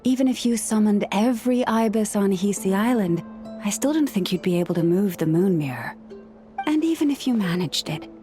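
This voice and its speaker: An actress playing a gentle, patient young woman who speaks with animals in a video game, polite and measured. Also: mid-range voice, close to an everyday voice. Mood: patient, reasoning.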